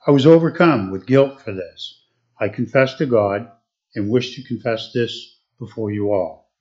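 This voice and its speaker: A man reading a formal letter aloud to a church congregation in a steady way. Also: mid-range voice, measured pace, highs cut off.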